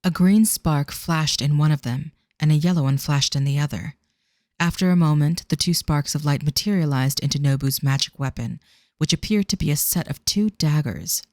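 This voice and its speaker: A narrator reading a scripted audio drama in a measured, descriptive storytelling manner. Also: mid-range voice, darkish tone, no room sound, studio quality.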